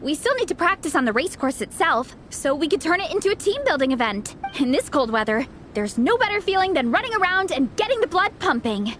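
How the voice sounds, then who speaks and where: Casting: high, very animated girlish team cheerleader, earnest and bubbly, anime game, light music underneath. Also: brisk, hardly any pauses. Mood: friendly, coaxing. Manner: exclamatory, bubbly. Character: peppy, enthusiastic young female team runner, earnest cheerleader. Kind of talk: anime game character acting.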